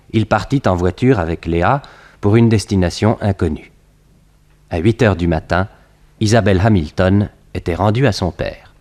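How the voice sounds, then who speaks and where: A man giving measured, dramatic scripted narration in the style of a 1960s French radio crime serial. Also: lowish voice, a little mellow.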